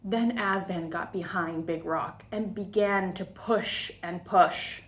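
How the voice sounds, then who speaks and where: A woman reading a storybook aloud to children, expressive and deliberate, with little asides to the listeners. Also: fairly low pitch, slight background noise. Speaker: children's story time reader.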